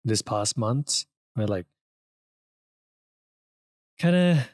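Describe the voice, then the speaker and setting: A man's voice: mid-range voice, lively intonation, drawn-out words, darkish tone, no echo, clean studio sound. A man co-hosting a podcast, chatting casually and informally. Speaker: podcast co-host and audio editor. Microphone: close podcast microphone.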